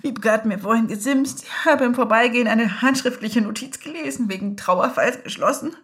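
An actress plays a younger woman in her thirties in a naturalistic radio drama. Her voice is high, and she sounds shaken and upset. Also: normal speed, a bit bright, close to an everyday voice. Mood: shaken, grieving. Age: 30s.

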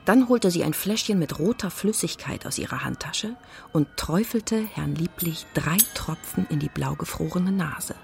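A woman's voice: sits low, expressive, normal speed, gravelly, faint music behind. A woman narrating a scripted children's story in a dramatic, suspenseful way.

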